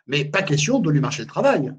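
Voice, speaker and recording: A man with a slightly high, husky voice talks on a video call as a webinar presenter. He is emphatic, stumbles and repeats words, and often tags his sentences with filler phrases. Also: big pitch swings, brisk. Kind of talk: live webinar talk on management.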